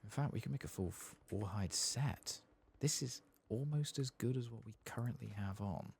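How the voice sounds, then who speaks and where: A man giving soft-spoken ASMR commentary over a game playthrough in an unhurried murmur. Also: mid-range voice, gravelly, breathy edge. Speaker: ASMR gaming streamer.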